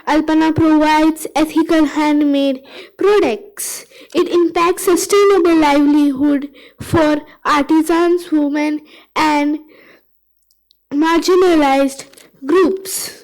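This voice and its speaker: A very high-pitched female voice with an Indian accent delivers a prepared introduction. She speaks slowly and carefully, as if reciting, at a forum. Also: poor audio. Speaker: forum participant representing an organization. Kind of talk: prepared speech introducing an organization.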